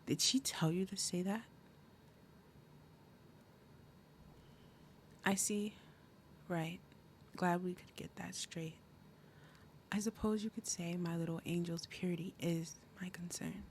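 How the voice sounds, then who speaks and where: A woman voicing a big, possessive demon mother in an ASMR roleplay, falsely sweet and threatening, speaking deliberately and dropping into breathy murmurs. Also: lowish voice, darkish tone, slight background noise, lightly acted. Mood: tense, interrogating. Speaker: roleplay audio performer. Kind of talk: ASMR roleplay, acted monologue.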